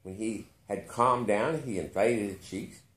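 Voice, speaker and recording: A man reading a literary short story aloud with expressive narration. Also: fairly low pitch, measured pace, roomy sound, low-quality recording. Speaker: story reader.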